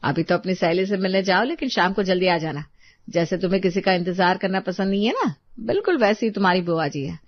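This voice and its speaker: Woman voicing anxious mother, lowish pitch, fretting, cartoon dialogue, lo-fi dry sound. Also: expressive, fairly quick, dry recording. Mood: warning. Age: middle-aged. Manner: worried, muttering. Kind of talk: acted cartoon story dialogue.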